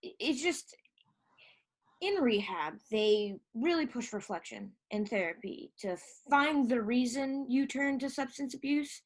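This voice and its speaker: A woman acting an insecure, hurt younger sister fresh out of rehab in a stage play, speaking in a halting, emotional way. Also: on the high side, expressive, low-quality recording. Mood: hesitant, wounded. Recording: live stage recording.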